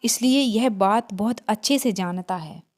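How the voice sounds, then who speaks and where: A woman's voice: medium pitch, a little slow, a little mellow. A woman reading a scripted horror story as its narrator.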